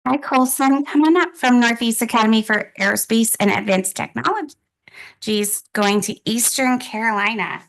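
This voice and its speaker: A woman's voice: on the high side, normal speed, fairly bright tone. A middle-aged woman presenting scholarship students at a formal meeting, speaking encouragingly and using frequent fillers.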